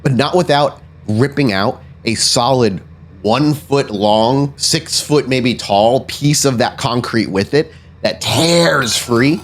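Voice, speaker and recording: A man's voice: mid-range voice, slight background noise. A man acting as game master, improvising descriptive narration for a tabletop game in a conversational way.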